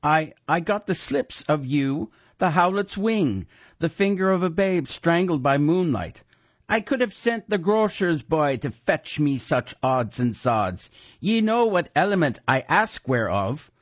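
A man voicing a gruff, ruthless old male wizard who is a talking severed head, bellowing in archaic rustic speech, on a dull lo-fi recording. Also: a little high, lively intonation, unhurried, no room sound, pitched above the performer's own voice. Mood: gruff commanding.

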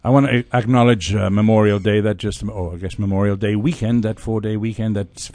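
A man hosting a casual radio show, talking in a halting, conversational way. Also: on the low side, steady tone, a little mellow, no echo. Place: radio studio.

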